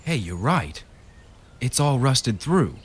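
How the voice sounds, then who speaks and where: A man's voice: medium pitch, sing-song, slow. A man playing an easygoing, sceptical husband in a radio horror play, breezy and dismissive of spooky talk. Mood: curious, playful. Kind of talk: radio horror drama acting. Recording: reverberant, noisy radio drama recording.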